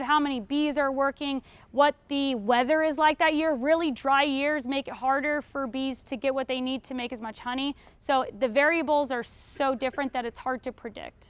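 High-pitched young American woman teaching a class. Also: normal speed, hardly any pauses, a bit bright, no echo. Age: young adult. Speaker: beekeeping class instructor. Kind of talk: informal lecture answering audience questions. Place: retail store aisle in front of a seated audience.

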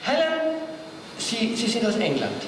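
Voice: medium pitch, huge pitch swings, a little slow. A performer speaking in a theatrical comic monologue style. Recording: very echoey, distant, noisy hall playback.

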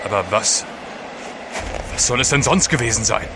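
An actor playing a wary, sardonic and plain-spoken seafarer on a polar trek in an audio drama. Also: male voice, mid-range voice, moderate pace, close to an everyday voice. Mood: uneasy. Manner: blunt, sardonic. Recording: clear outdoor background noise.

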